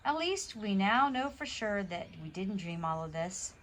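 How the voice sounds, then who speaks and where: Young girl, warm and reflective storybook sister, read by a woman, bright voice, faint noise. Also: mid-range voice, big pitch swings, unhurried. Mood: reassuring.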